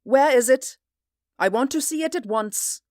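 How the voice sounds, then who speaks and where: An actress in a 1940s radio drama playing a stern, skeptical former schoolteacher who speaks in a scornful, prim way. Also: female voice, high voice, animated, unhurried, dry recording, clean studio sound.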